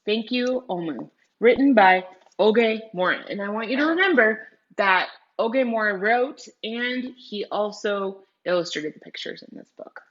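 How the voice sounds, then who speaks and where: A woman's voice: mid-range voice, animated, unhurried, dull audio. Woman teacher reading aloud to children. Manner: explanatory, addressing children directly with frequent questions. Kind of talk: read-aloud lesson with vocabulary explanations and questions to young viewers.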